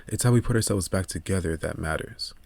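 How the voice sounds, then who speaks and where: A man's voice: sits low, fairly quick, creaky, darkish tone, no room sound. A man voicing a friendly, reassuring male friend in an audiobook, encouraging and sincere. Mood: soft, reassuring. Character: friendly, reassuring male childhood friend, easygoing helper with tools.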